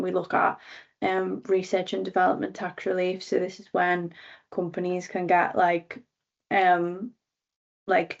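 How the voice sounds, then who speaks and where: Brief: twenties woman, Scouse accent, gravelly and flat, relaxed careers talk, slightly dull audio. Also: medium pitch. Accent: Liverpool. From Liverpool, England. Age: 20s. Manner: chatty, many ums and likes. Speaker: careers talk speaker, government analyst.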